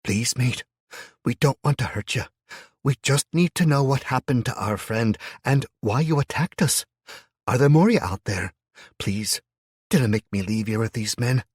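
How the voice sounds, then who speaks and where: A man's voice: mid-range voice, animated, moderate pace, slightly rough, dry recording, studio quality, pitched above the performer's own voice. A man reading a story, voicing a tough young woman captor. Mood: pleading. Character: tough young woman captor, Scottish-tinged, turns nasty.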